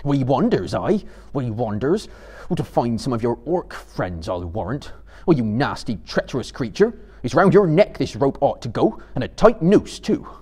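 A man acts out a gruff hobbit servant from a fantasy storybook. He growls with husky indignation, scolding suspiciously in folksy turns, his pitch jumping about. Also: big pitch swings, normal speed, dark tone. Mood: angry scolding. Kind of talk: dramatic reading of a fantasy novel.

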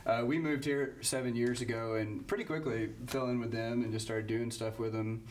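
A man from Kentucky, a band singer, chatting casually in a small studio, relaxed and rambling with restarts. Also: medium pitch, moderate pace, noisy background. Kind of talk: casual interview chat. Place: small library studio room.

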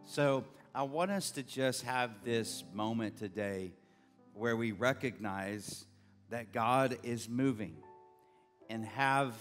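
A middle-aged man, a pastor preaching a conversational sermon from a stage with a handheld mic, earnest and building to emphatic bursts. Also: fairly low pitch. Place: large church stage. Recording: long reverb of a church hall, faint music.

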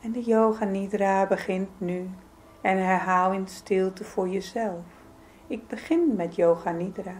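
Female meditation guide, mid-pitched and soothing, taking her time through calm instructions, slight noise behind the voice. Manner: soothing, gentle, unhurried with pauses. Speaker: yoga nidra meditation guide.

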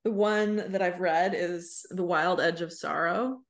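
A woman's voice: lowish voice, steady tone, unhurried. A woman in her thirties co-hosting a podcast in casual, informal chat. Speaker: podcast co-host. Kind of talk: casual chat about books. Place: small room on a video call.

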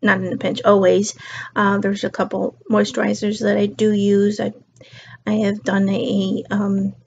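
A tired middle-aged woman with a slightly raspy voice, chatting casually on her couch into a webcam and drifting between topics. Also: medium pitch, completely flat delivery, measured pace, a little mellow, a little hiss or hum. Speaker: beauty and lifestyle vlogger. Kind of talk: casual chat to camera. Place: living room couch, indoors. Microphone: built-in webcam mic. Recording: dull lo-fi webcam audio.

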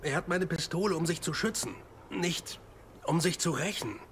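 A man acting a worried, guilt-laden father in a war game. His voice sits somewhat high for a man, over faint background noise. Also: normal speed, a bit bright, natural voice. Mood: worried, guilty. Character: anxious, guilt-ridden father searching for his son. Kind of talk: dubbed war game dialogue.

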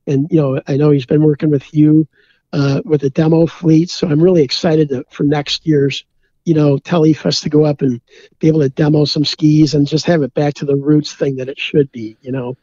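A man's voice: a little high, flat delivery, normal speed, warm tone, dry recording, dull audio. A man from Minnesota, a beer brewer, chatting easygoingly as a podcast guest and filling his talk with "you know". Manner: rambling, easygoing, many "you know" fillers. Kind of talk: casual interview chat.

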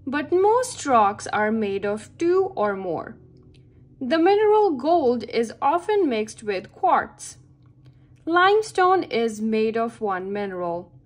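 High-pitched woman reading slowly to kids. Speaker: read-aloud reader for children. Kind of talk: slow read-aloud of a children's science book.

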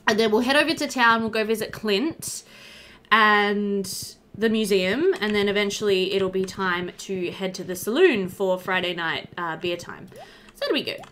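A woman's voice: mid-range voice, expressive, moderate pace, a bit bright, slight background noise. A woman in her thirties giving casual, chatty let's play commentary, thinking aloud and talking to the game characters. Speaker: gaming video host. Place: indoor room at home. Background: game music.

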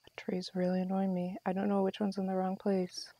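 A woman's voice: medium pitch, completely flat delivery, brisk, dark tone. A woman giving casual live commentary as she streams a game, playfully talking to herself and her viewers.

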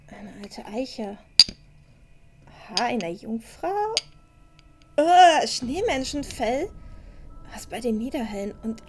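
A woman's voice: fairly high pitch, background noise. A woman playing a curious, squeamish young apprentice in a fan-made fantasy radio play, thinking aloud to herself. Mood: absorbed, appalled. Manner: thinking aloud, with gasps and sounds of disgust.

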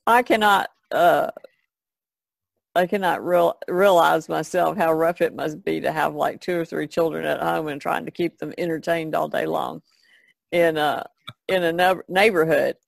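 A woman's voice: low-pitched, steady tone, measured pace, vocal fry, no room sound. An older woman chatting casually as a podcast co-host. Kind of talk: casual chat.

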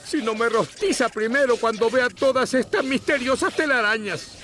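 Very high, fussy cartoon crab. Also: male voice, normal speed, sharp tone. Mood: distraught. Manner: theatrical, exasperated, bossy. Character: fussy, anxious, melodramatic male crab conductor. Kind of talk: animated video game voice acting.

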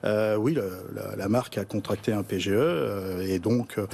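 A middle-aged man being interviewed in a TV studio as a restaurant chain executive. He talks haltingly, with many fillers and restarts. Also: fairly low pitch, gravelly, darkish tone, slightly rough. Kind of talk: TV business interview.

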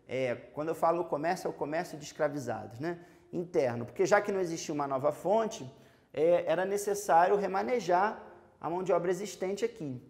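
High-voiced young Brazilian teacher. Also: male voice. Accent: Brazilian Portuguese. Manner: explanatory, addresses students directly, frequent fillers; walks and gestures while talking. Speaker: history teacher. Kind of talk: televised school lesson. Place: studio in front of a chalkboard.

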